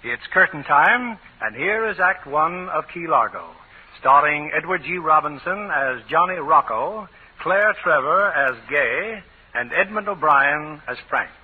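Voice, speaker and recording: Animated, husky 1940s radio announcer man. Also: fairly high pitch, unhurried. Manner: theatrical, polished presenting. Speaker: announcer and host. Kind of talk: 1940s radio scripted narration. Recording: muffled, tinny 1940s radio broadcast.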